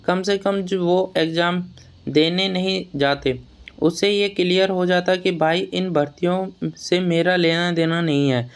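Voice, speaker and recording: A man with a high-pitched, clean and smooth voice that stays within a narrow, even range. He explains things informally to students as an exam news vlogger. His delivery is plain-spoken and admonishing, with words and phrases often repeated. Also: a little slow. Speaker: exam news vlogger advising job aspirants.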